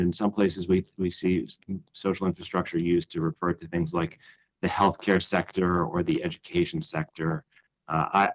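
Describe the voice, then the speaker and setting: Very low-voiced man giving a conference talk. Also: low-quality recording. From Chicago. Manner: measured and thoughtful, with frequent "uh" and restarts. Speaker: conference speaker.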